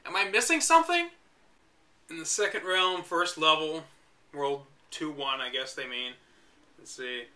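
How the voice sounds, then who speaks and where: A man with a high, very bright voice reads from a book, stopping often for sardonic, exasperated remarks. His asides are dry and mocking. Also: expressive, unhurried, pauses often. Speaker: reader and commentator. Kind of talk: reading a book aloud with sarcastic commentary.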